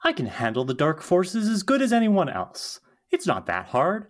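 A woman's voice: lowish voice, wildly swooping pitch, normal speed. A woman playing an eager, defiant young witch in a podcast script read, with an emphatic, dramatic delivery. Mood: sulky, determined.

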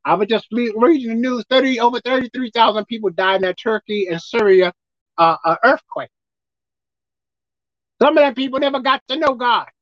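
A man with a squeaky voice preaching a sermon as pastor, emphatic and forceful. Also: brisk, no room sound, dull audio. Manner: emphatic, pitch leaping up and down.

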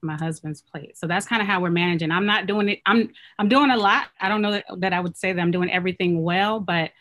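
A woman in her thirties from Oakland, a clothing business owner, talking casually and softly in an interview. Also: lowish voice, fast. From Oakland, California.

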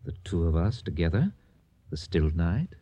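A man's voice: low voice, sing-song, moderate pace, mellow, husky, dry recording, heavily acted. A man playing a suave, theatrically romantic business partner, courtly and wheedling, in a radio comedy. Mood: soothing, seductive.

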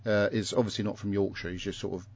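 A man's voice: lowish voice, level tone, fast, creaky, a little mellow. A man hosting a podcast in casual chat, rambling through the plot with dry teasing asides. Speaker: podcast host. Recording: dull lo-fi sound.

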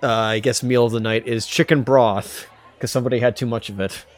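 Male podcast host, average pitch, informal banter, steering the chat. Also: fairly even intonation, brisk. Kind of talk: casual banter, prompting co-hosts.

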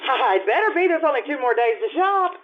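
An actress playing a harried, wistful housewife and mother in an old-time radio drama, with theatrical pauses. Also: sits high, sing-song, slight background noise. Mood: tired, disillusioned. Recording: tinny band-limited radio play recording.

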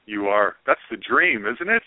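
A man's voice: mid-range voice, big pitch swings, normal speed, dry recording. A man hosting a casual podcast interview, affirming his guest and asking roundabout, informal questions. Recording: narrow phone-like band, dry.

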